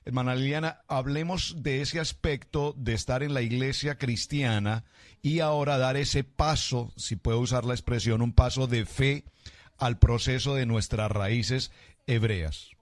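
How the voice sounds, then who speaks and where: Male pastor-host, formal and affirming, mid pitch, dry studio sound. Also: unhurried, no room sound. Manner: formal, effusive greetings, affirming fillers. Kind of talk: formal radio interview hosting.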